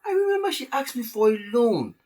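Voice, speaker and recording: A woman's voice: a little high, big pitch swings, fairly quick, darkish tone. A woman playing an agitated, incredulous husband with an insistent, repetitive delivery in a story drama. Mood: agitated, demanding. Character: agitated, incredulous man named Jude, a husband.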